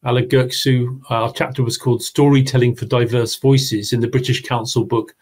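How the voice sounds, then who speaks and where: A man presenting an online teaching seminar, talking his audience through his slides. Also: mid-range voice. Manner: explanatory, talks through slides. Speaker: webinar presenter.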